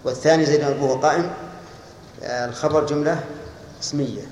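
A man's voice: fairly high pitch, steady tone, quick pace, a little mellow. A man teaching a grammar lesson, quizzing students and correcting them with firm, short replies. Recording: echoey hall, faint hiss.

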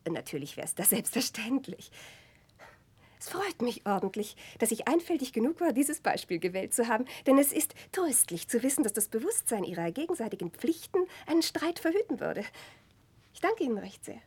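An actress playing an intense, bitter, sharp-tongued gentlewoman in a 1960s German radio play, probing with feigned-naive questions in a breathy, urgent voice. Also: on the high side, sing-song, normal speed, exaggerated voice. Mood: sly, pointed.

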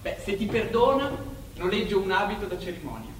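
A sardonic young man teases with a wry, needling manner and pointed pauses. His voice is a little high. It comes from a distance, very echoey, with clear background noise. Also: expressive. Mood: needling, wistful. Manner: wry, teasing, pausing. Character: sardonic, needling man, old friend from Paraguay. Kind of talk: live stage drama reading. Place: theatre stage, far from the mic.

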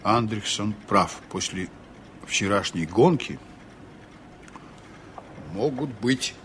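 An actor playing a seasoned, honest sea captain in a reflective, measured way, in a 1980s Soviet radio drama. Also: male voice, lowish voice, expressive, a bit bright. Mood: reflective, sincere. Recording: noisy old radio play recording.

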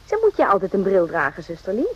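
An actress playing a wry, kindly army doctor in a radio drama, questioning and dryly teasing. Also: female voice, medium pitch, huge pitch swings, fast talker, breathy edge. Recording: muffled old radio recording with hiss.

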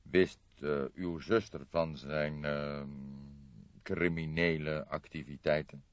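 A man voicing a somber older male police detective in an audiobook, with a slow, deliberate manner. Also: very deep voice, fairly even intonation, no echo. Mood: slow, probing. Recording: dull, dry lo-fi audiobook recording.

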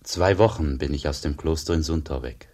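An actor playing a humble, pious wandering monk in a German radio drama, husky and speaking in a measured, grave, formal way. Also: male voice, a bass voice, darkish tone. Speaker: actor, also narrating as the monk.

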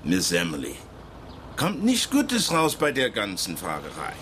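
Wary folksy man, wildly swinging pitch. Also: wildly swooping pitch, a little slow, fairly bright tone, slight background noise, full character voice. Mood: wary, stubborn. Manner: folksy, ungrammatical speech with double negatives. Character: wary working-class local man, evasive but polite. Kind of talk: German radio drama acting.

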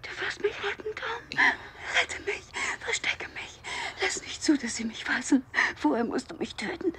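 Frightened widow on the run, radio play. Higher register, breathy halting whispers, very wide emotional swings. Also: female voice, big pitch swings. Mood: desperate, panicked. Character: desperate, frightened widow fleeing after a death. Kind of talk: German radio drama acting.

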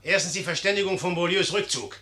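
An actor playing a vain, hot-tempered young general in a theatrical 1950s German radio play style, moving between condescension and bluster. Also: male voice, high-pitched, fast, sharp tone. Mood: irritated, suspicious.

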